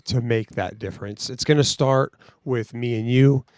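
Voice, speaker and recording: A man's voice: medium pitch, moderate pace, a little mellow, no echo. A man with a mid-range voice talks on a podcast in a casual, motivational way. He urges listeners on and uses slang like "bro" and "dude." The recording is close and dry. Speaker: podcast co-host.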